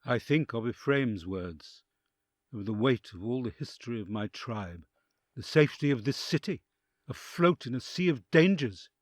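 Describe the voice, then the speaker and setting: Conscience-torn envoy for audio drama , male actor: husky, widely varied pitch, anguished and introspective narration. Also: dry recording. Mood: heavy-hearted resolve. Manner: literary, expressive, introspective.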